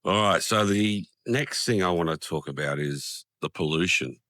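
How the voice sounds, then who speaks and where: An Australian man with a croaky voice from a cold, talking casually in a rambling vlog with many ums and restarts, outdoors in a quiet park. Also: sits low, normal speed, no echo, studio quality. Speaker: vlogger. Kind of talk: casual vlog talk to camera.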